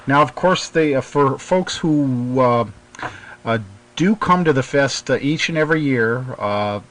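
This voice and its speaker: An American man hosting a casual hobby radio chat, speaking in a hesitant, halting way. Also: mid-range voice, expressive, fairly bright tone, poor audio. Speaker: radio show host.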